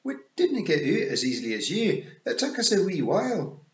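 A tiny, boastful Scottish pixie chief, pitched high and swooping wildly. The delivery is loud, strained and rough-and-ready. The recording is dull and roomy. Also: male voice, very animated, moderate pace. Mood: cheerful, assertive. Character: bold cheerful tiny pixie clan leader, gruff and boastful.

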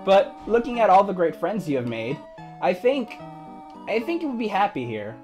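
A woman voicing Toriel, a gentle motherly monster. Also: medium pitch, sing-song, normal speed, music in the background, natural voice. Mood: tender. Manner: kind, polite, soothing.

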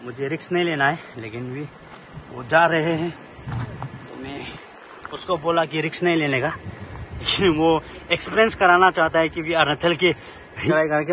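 Young man, high voice, bright tone, casual vlog chatter, climbing a rock outdoors, phone mic, faint outdoor noise. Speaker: vlogger. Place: outdoors on a rocky hilltop by a road.

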